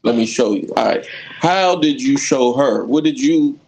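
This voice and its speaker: Teasing podcast host, playful and loud. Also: male voice, medium pitch, lively intonation, normal speed, soft background music, rough recording. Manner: joking, teasing, loud interjections. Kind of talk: casual banter.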